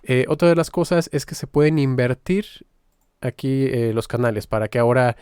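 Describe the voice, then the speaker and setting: Mellow-voiced man giving a software tutorial. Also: medium pitch, moderate pace, clean tone, no room sound, clean studio sound. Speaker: music software tutorial instructor. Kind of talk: informal screen-recorded software tutorial.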